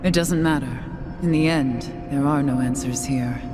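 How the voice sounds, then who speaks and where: An actress playing a solemn woman companion in a video game cutscene, measured and restrained. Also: a bass voice, music in the background. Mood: resigned.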